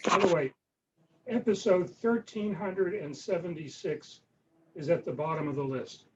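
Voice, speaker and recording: A man's voice: on the high side, moderate pace. An older man, a club member, casually reminiscing on a video call and piecing details together as he goes. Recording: dull, noisy video-call audio.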